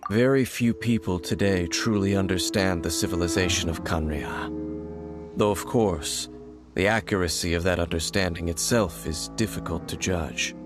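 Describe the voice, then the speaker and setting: A brooding, mysterious warrior played by a man speaks in a low voice over game music. He is stoic and aloof, with solemn, measured, formal phrasing suited to a fantasy video game. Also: close to an everyday voice.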